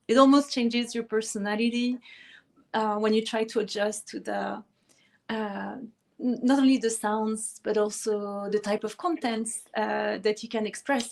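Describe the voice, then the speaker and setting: A woman's voice: a little high, gravelly. A middle-aged woman from France, whose first language is French, speaking in a conversational interview with light laughter and frequent restarts. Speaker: guest musician.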